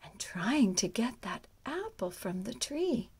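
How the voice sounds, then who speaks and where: A woman with a high, breathy voice narrates a picture book for young children. She takes her time and swoops up and down, often hushing her voice as if full of wonder. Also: big pitch swings, slow pace. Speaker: storyteller and children's music teacher.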